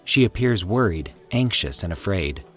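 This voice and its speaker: A man with a low voice delivers scripted horror narration over a music bed. His pitch moves dramatically through each phrase, and his delivery is formal, deliberate and theatrical. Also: lively intonation, a bit bright. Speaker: host and narrator of a fiction podcast.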